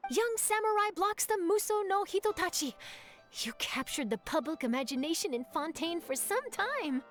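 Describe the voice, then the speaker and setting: Eager, very high-voiced young reporter. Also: female voice, very animated, moderate pace, heavily acted. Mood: excited. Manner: enthusiastic, inquisitive. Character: eager, bubbly young female news reporter. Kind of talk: anime game voice acting. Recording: soft game music behind.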